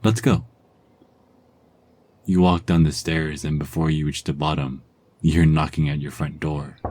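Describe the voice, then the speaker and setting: A man narrating a second-person ASMR story in a calm, soft-spoken, husky voice. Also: rumbling low, slight background noise.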